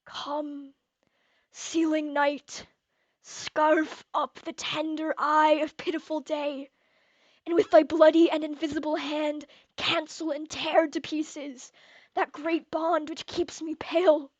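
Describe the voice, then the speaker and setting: Shakespearean tormented king, acted by a young woman, high voice, slow measured verse, theatrical, dull home recording. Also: little pitch movement, no echo. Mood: brooding, secretive. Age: young adult. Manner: theatrical, hushed to declamatory delivery. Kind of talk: Shakespearean verse drama acting.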